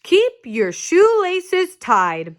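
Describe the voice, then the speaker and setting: A woman voicing an earnest, proud male police officer who announces safety tips deliberately in a picture book read-aloud. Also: extremely high pitch, wildly swooping pitch, pitched above the performer's own voice, exaggerated voice. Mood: earnest, instructive.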